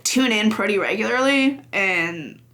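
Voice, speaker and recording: A young woman in her early twenties talks at an ordinary pitch, easygoing and rambling. She laughs often and leans on loose fillers as she chats as a podcast guest. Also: lively intonation, measured pace, a bit bright. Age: early 20s. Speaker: podcast guest, games journalist. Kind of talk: casual chat.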